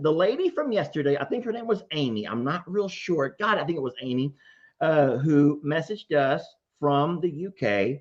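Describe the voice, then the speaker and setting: A man hosting a call-in show, chatty and rambling, who laughs easily. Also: a little high. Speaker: show host taking callers. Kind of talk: live call-in chat. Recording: slightly muffled audio.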